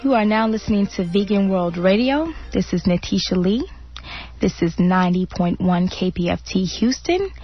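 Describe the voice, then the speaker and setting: A woman's voice: mid-range voice, animated, moderate pace, lo-fi sound, soft background music. A woman hosting a radio talk show, friendly and chatty, who laughs easily. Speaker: radio host.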